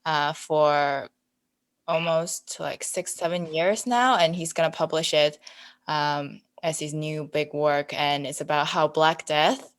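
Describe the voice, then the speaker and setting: A woman's voice: deep voice, fairly even intonation, slow. A woman in her twenties chatting casually as a podcast guest, informal and self-deprecating in manner. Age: 20s. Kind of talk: casual chat.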